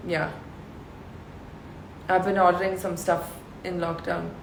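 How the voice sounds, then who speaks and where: A woman in her thirties with a deep voice, chatting casually and reading fan questions aloud on a live stream from her home. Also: little pitch movement, takes it slowly, gravelly, roomy sound, slight background noise, rough recording. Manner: chatty, reads comments aloud and answers them. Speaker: live stream host answering fans. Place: her house with bare concrete walls. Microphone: phone livestream.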